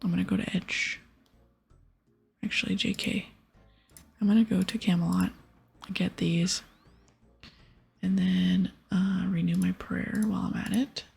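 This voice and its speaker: A woman hosts a gaming ASMR session in a soft, extremely breathy whisper. Her voice often crackles into fry as she casually thinks aloud about her play. Gentle music sits quietly behind her. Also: lowish voice, little pitch movement, measured pace, pauses often. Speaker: ASMR gaming channel host. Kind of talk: whispered ASMR game commentary.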